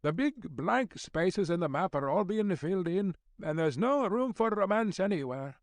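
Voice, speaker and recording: Man playing elderly Scottish news editor, raised pitch, avuncular, fussy, crabbed yet kind, storybook dialogue, dry close sound. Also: expressive, moderate pace, no echo. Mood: doubtful, fussy. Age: older. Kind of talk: audiobook character dialogue.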